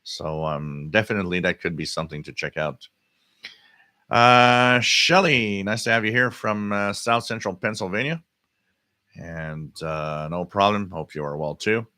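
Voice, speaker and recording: A man hosting a casual live hobby stream, answering chat comments in a halting way and often repeating his words. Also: low-pitched, sharp tone, no echo, studio quality. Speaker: livestream host.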